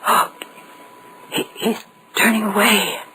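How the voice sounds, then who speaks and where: A woman's voice: mid-range voice, level tone, takes it slowly, pushed and bright. An actress playing a nervous, frightened young woman traveler, anxious and pleading, in an old radio horror play. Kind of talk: 1940s radio horror acting. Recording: very noisy, crackly vintage radio recording.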